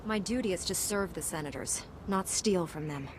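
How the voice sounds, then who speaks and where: An actress as a calm Jedi woman, noisy ambience. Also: lowish voice, lightly acted. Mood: cautious, dutiful. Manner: measured, earnest, formal. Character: calm, dutiful young woman Jedi Knight. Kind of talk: video game voice acting.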